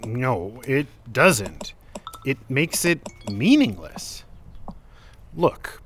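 A defensive man in an audio drama, earnest and argumentative. His mid-pitched voice swings expressively as he makes his case at an unhurried pace, sounding exasperated. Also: a bit bright. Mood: frustrated. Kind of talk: naturalistic audio drama dialogue.

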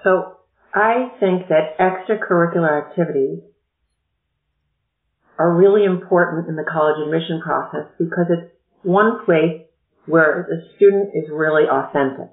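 A woman educational consultant explaining college admissions in a measured, explanatory way during a podcast interview. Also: lowish voice. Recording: echoey, tinny phone-like line.

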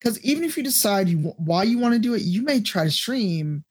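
A woman with a deep voice, a podcast guest and streamer giving blunt, profane advice in casual chat. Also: very animated, fairly quick, darkish tone, breathy edge.

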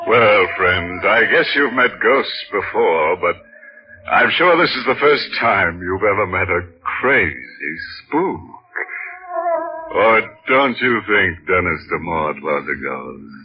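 A man's voice: low-pitched, very animated, slow pace, soft background music. A man hosting a 1940s radio horror show with sly, tongue-in-cheek macabre delivery. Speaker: mystery show host. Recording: muffled vintage radio recording.

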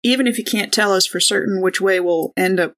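A woman's voice: mid-range voice, a little fast, fairly bright tone. A woman playing a tabletop game, talking through options in an informal, hedging, conversational way. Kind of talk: casual in-character tabletop discussion.

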